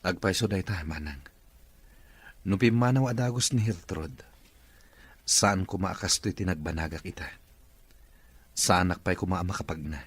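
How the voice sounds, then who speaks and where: A man's voice: low voice, moderate pace, pauses often, no echo. A man with an Ilocano Filipino accent playing a stern, wealthy boss who uses a wheelchair, in a radio drama. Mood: firm.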